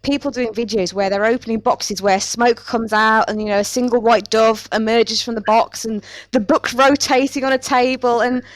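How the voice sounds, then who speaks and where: A woman's voice: fairly high pitch, steady tone, normal speed, hardly any pauses, no room sound, low-quality recording. A woman chatting casually as a podcast host about her interests. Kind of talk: casual book podcast chat.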